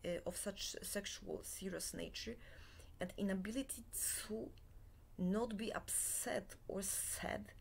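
A woman in her forties, a Polish native speaker, giving a halting, half-whispered talk into a laptop webcam in a small bed-and-breakfast room. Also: medium pitch, creaky, breathy edge. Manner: halting, many filler pauses, often half-whispered. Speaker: self-help lecturer. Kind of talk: slow unscripted monologue to webcam.

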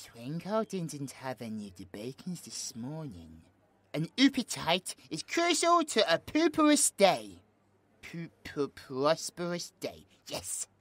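A childlike possessed demon, high and bouncy. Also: male voice, fairly bright tone, no room sound, exaggerated voice. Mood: worried. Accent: British. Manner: talks of himself in third person, babyish words. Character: childlike possessed male demon friend, clingy and excitable.

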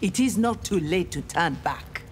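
A woman's voice: on the low side, animated, normal speed, close to an everyday voice. A woman voicing an earnest, principled female warrior in a video game, speaking in a stern, reproachful way.

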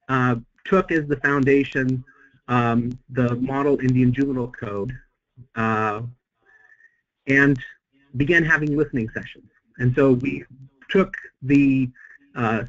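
A man speaking at a middle pitch, slightly hoarse from a cold, presents on a webinar. He talks in a halting way, with frequent fillers and restarts. The audio is a little dull and muffled. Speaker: webinar presenter, center president.

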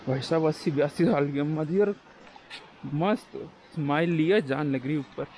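A young man with a slightly high voice keeps up a joking running commentary as he walks a forest trail. His words come through a handheld action camera out of doors, with faint outdoor sounds behind him. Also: normal speed, a little mellow, slight background noise, rough recording. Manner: chatty, joking running commentary to himself while walking. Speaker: travel vlogger. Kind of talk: casual hiking vlog commentary.

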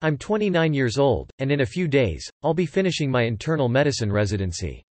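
A man's voice: medium pitch, very animated, brisk, a little mellow, dry recording. A man narrating an English lesson, reading example sentences and definitions aloud and repeating each one evenly.

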